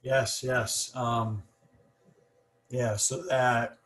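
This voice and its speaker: A man's voice: mid-range voice, level tone, extremely slow, a bit bright. A man hosting a casual podcast conversation, talking with a stutter and many repeats and fillers. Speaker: podcast host.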